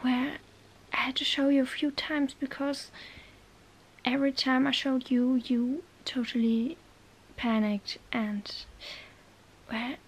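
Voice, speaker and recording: A woman playing a bookish, exasperated young witch in an ASMR roleplay, halting and bossy, often whispering. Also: high voice, level tone, husky, full character voice. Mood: anxious, coaxing. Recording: faint background noise.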